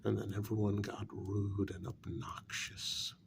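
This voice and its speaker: A man playing a bitter, sarcastic kidnapper in a soft-spoken ASMR role-play, halting and half-whispered, with a gravelly voice. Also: fairly low pitch, level tone, background noise. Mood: resentful. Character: bitter, sarcastic male kidnapper confronting a demon.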